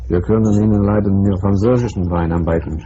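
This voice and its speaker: Man acting a mellow, wry British spy. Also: sits low, steady tone, fast, lightly acted. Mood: dry, unruffled. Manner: dry, understated, urbane. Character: wry, seasoned British male intelligence agent, middle-aged. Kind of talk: radio spy drama acting. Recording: dull, hissy radio drama recording.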